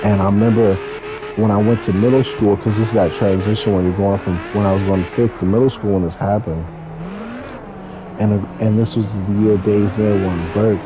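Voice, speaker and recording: A man's voice: on the low side, fairly even intonation, normal speed. A man, telling stories in a casual, rambling, slangy way with some swearing. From California, United States. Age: 30s. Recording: noisy, with car engine sounds behind. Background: racing game car engines.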